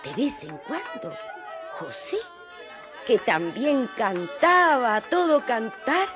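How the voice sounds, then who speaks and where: Fond mother narrating, animated pitch, unhurried, radio drama, music behind. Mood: fond remembering. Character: Mary, mother recalling her son's birth fondly.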